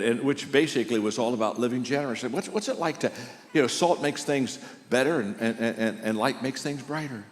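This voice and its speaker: An older man preaching a sermon, telling stories in a warm, conversational way and often restarting his sentences. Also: mid-range voice. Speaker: pastor. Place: large church auditorium. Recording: long reverb of a large hall.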